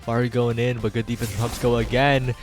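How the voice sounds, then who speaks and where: A man's voice: medium pitch, level tone, fairly quick, soft background music. A man giving live play-by-play esports commentary, following the action in long running sentences.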